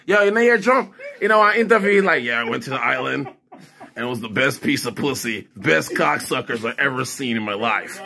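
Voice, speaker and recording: A man off camera teasing and egging on a friend with quick jokes. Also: mid-range voice, animated, vocal fry, bright, forceful tone. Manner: teasing, egging on with short interjections. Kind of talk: joking banter from behind the camera.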